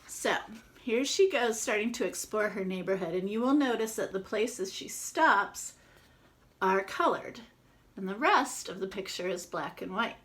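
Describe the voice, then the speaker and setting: A woman reading a picture book aloud to children, expressive, with casual chatty asides to explain it. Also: mid-range voice, a little slow. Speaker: children's read-aloud presenter.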